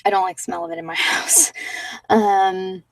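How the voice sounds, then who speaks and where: A soft-spoken woman with a mid-pitched voice hosts a guided ASMR meditation in a casual, rambling way. She pauses often and sometimes slips toward a whisper. The sound is dry, with her voice set a little back from the microphone. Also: fairly even intonation, no room sound, distant mic, low-quality recording. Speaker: host of guided meditation sessions.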